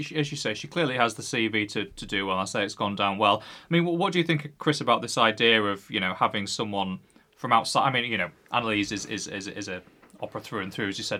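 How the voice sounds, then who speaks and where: Guy hosting a podcast in a studio, talks quick, bright forceful tone, lots of restarts. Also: on the low side. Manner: quick, chatty, restarts often. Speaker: podcast host. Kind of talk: casual opera podcast chat. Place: radio studio.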